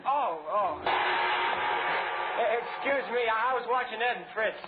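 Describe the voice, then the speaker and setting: A man's voice: very high-pitched, slow. A man acting a dim, eager, flustered errand helper in 1940s radio drama style, sounding apologetic. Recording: very noisy, tinny old radio recording.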